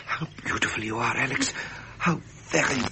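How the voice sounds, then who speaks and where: An actor playing a young, tender, self-doubting prince in a 1970s British radio drama, with an upper-class English accent. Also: male voice, medium pitch, expressive, normal speed, vocal fry, very noisy. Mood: tender, earnest.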